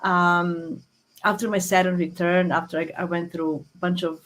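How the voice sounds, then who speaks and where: A woman in her forties with a Croatian accent and a slightly low voice. She talks casually about herself as a guest, repeating words and starting sentences over as she goes. She is speaking through a phone app on a video call. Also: flat delivery, a bit bright. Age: 40s. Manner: halting, repeats words and restarts often. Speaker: guest astrologer. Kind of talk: casual interview talk, telling personal story.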